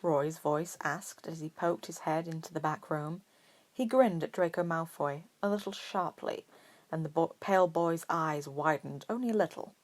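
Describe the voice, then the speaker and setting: A woman's voice: low-pitched, moderate pace. A woman reading scripted fiction aloud as a story narrator. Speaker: podfic narrator.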